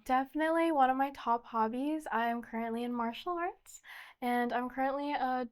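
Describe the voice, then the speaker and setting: A woman's voice: high-pitched, normal speed, clear, resonant tone. A young woman from the United States answering interview questions earnestly, often repeating "definitely" and "just". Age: young adult. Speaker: pageant candidate, interviewee.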